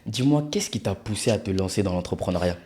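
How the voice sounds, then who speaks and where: Podcast host guy, really low voice, lots of um and uh, laid back chat. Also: lively intonation, quick pace, darkish tone. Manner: hesitant, with many fillers. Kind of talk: casual interview chat.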